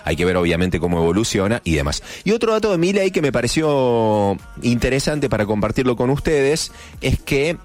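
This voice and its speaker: A man from Argentina with a Córdoba accent, hosting a casual morning radio show with chatty, joking asides. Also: lowish voice. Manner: chatty, jokey asides, playful mock voices. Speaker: radio host.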